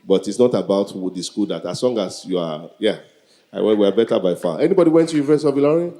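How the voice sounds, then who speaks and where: Mellow Nigerian man hosting on stage. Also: lowish voice, lively intonation, fast talker. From Lagos, Nigeria. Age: early 40s. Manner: joking, repetitive, rhetorical questions to the crowd. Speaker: event co-host, master of ceremonies. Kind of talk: live stage hosting with improvised banter.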